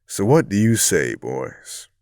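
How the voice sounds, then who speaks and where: A man's voice: low voice, sing-song, slow, vocal fry, darkish tone, full character voice. A man playing a big middle-aged crime boss in an audio drama, theatrical and condescending, with menace under a mock-friendly manner.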